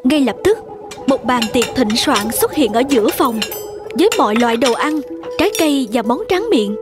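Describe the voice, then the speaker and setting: A woman's voice: high-pitched, lively intonation, normal speed, music in the background. A woman storyteller reading a scripted fairy tale for children.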